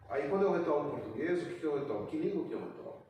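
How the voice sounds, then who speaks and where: A man from Brazil, a poet on a literary panel, telling a story in a measured, narrative way, recorded from a distance in an echoey room. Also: on the high side, expressive, darkish tone, slight background noise.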